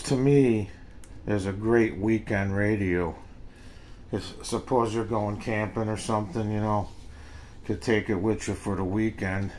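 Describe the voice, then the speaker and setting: An older man with an American accent gives a casual, unscripted product review in a halting, plain-spoken way. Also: fairly low pitch. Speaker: gadget reviewer. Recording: noisy amateur home recording.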